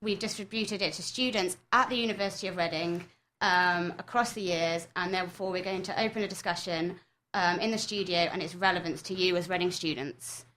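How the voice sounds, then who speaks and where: A woman's voice: fairly low pitch, a little fast, pushed and bright, a little hiss or hum, low-quality recording. Young female student presenter, earnest scripted radio read. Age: young adult. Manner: earnest, reads from script, addresses listeners.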